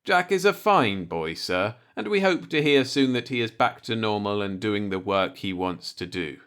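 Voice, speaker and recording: A man's voice: on the low side, expressive, moderate pace, a bit bright. A man reading a light-hearted short story aloud as an audiobook narrator, in a scripted storytelling style.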